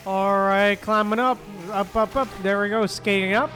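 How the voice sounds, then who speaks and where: A man's voice: high-pitched, steady tone, moderate pace, sharp tone, faint music behind. A man giving casual gameplay commentary as a streamer, murmuring to himself and often chuckling. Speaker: streamer playing a game.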